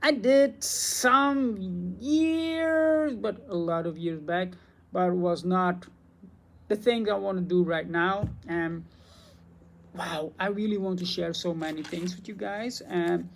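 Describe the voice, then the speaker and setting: A man from Belgium, a native Dutch speaker with a Belgian Dutch accent, chatting to camera as a spiritual teacher in an excitable, rambling way. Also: sits high, slow, creaky. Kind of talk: casual talk to camera.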